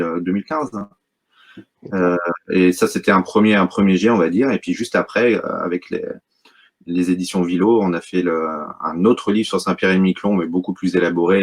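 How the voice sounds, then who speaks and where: A middle-aged man from Brittany with a very low voice speaks as an interview guest. He talks about his work haltingly, with frequent fillers and restarts. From Brittany, France. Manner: hesitant, many fillers and restarts. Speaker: wildlife photographer, guest. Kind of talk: casual interview talk.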